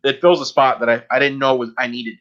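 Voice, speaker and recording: A man hosting a podcast in casual chat, talking in a halting, rambling way. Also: mid-range voice, fairly even intonation, a bit bright, highs cut off. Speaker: podcast host.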